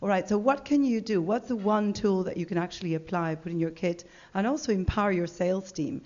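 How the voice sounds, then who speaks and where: A middle-aged woman from Argentina with an Argentine accent, giving a business talk to a conference audience in a chatty, animated way, with plenty of fillers and restarts. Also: medium pitch, brisk. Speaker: conference speaker. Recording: dull lo-fi event recording.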